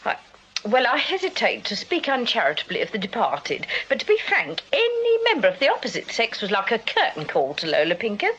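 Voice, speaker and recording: A woman's voice: a little high, wildly swooping pitch, fairly quick, fairly bright tone, muffled recording, a little hiss or hum. An actress playing a gossipy, coy Victorian landlady with a genteel English accent and an affected, arch, cooing manner in a radio drama. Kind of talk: period radio drama acting.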